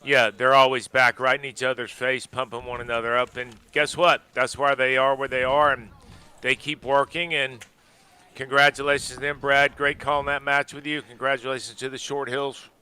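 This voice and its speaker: A man doing color commentary with emphatic, enthusiastic praise. His voice is very bright and crisp, held on a flat, even pitch. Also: normal speed. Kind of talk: sports commentary.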